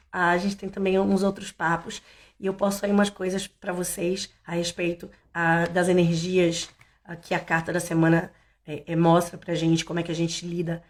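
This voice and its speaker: A woman from Salvador, hoarse, hosting a casual tarot live stream in a chatty, meandering way with many fillers and restarts. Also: medium pitch. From Salvador, Bahia, Brazil.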